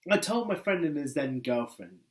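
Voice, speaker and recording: A man's voice: fairly high pitch, lively intonation, normal speed. A man reading a personal essay aloud in a wry, conversational way, leaning on key words for emphasis. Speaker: book editor and essayist reading his work.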